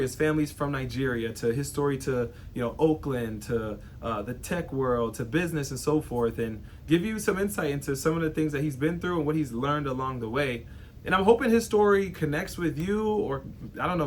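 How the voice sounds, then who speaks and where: A man's voice: medium pitch, a little hiss or hum. A young man hosting a show, giving an earnest, heartfelt monologue full of "you know" and "right". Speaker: host.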